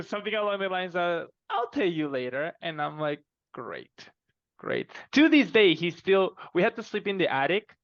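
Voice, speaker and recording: A man speaking as a guest author in a casual podcast interview, telling stories in an animated way and acting out exchanges. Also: a little high, no echo, dull audio.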